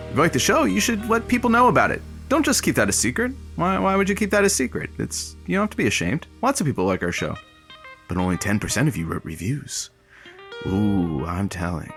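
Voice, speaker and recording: Animated man reading podcast credits. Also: medium pitch. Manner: jokey, conversational. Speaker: credits announcer. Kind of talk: informal podcast end credits.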